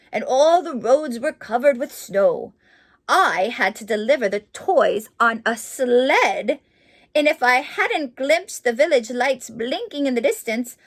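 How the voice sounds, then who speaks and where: Woman as old male storybook mouse, kindly, reminiscing, gentle, unhurried, melodic. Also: a little high, voice pushed down, heavily acted.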